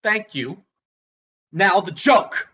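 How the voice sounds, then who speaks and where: A man acts out a struggling stand-up comic with a high, bright voice. He stammers and halts through his act, flustered and exasperated, a volatile performer on a short fuse in a dramatic script read. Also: expressive, extremely slow, breathy edge, highs cut off, heavily acted. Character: flustered, volatile failing male stand-up comedian. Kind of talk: dramatic screenplay table read, stand-up monologue.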